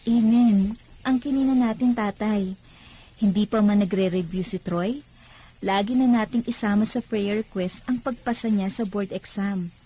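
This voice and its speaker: Actress as a soft, mellow devoted Filipino daughter. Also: female voice, medium pitch, normal speed, natural voice, poor audio. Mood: loving, earnest. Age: young adult. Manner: respectful, earnest. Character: devoted, pious grown daughter, grateful and respectful. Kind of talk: radio drama acting.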